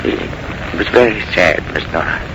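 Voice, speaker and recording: Kindly servile butler, lowish voice with lots of lilt, noisy old radio show. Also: male voice, animated, a little slow. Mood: welcoming, wistful. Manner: courteous, gentle, servile. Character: kindly, deferential household butler, a man. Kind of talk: 1940s radio drama acting.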